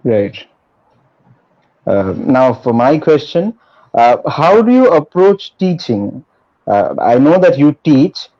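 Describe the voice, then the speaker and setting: A man co-hosting a talk show, asking questions in a measured, polite way with occasional fillers. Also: fairly high pitch, sing-song, mellow. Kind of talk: interview questions. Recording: dull lo-fi recording.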